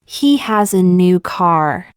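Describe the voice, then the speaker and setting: Woman, English teacher, reading sentences twice, smooth clear tone, dry close sound. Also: fairly low pitch, lively intonation, dry recording. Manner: slow, careful, each sentence said twice. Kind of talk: reading practice sentences aloud.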